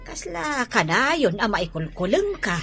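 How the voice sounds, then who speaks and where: A woman's voice: on the high side, sing-song, measured pace, fairly bright tone, soft background music, exaggerated voice. A woman playing a practical middle-aged mother of a married son in an audio comedy drama. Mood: matter-of-fact.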